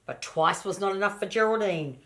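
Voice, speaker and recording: A woman's voice: medium pitch, lively intonation, brisk, fairly bright tone. An older woman, a grandmother, reading a children's storybook aloud expressively and speaking directly to her grandchildren in asides.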